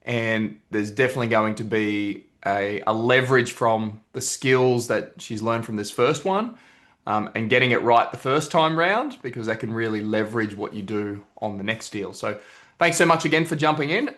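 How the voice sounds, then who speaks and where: A man's voice: mid-range voice, moderate pace, fairly bright tone. Man hosting a casual interview. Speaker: host, property investment coach. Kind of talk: casual online interview introducing a guest. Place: remote video call.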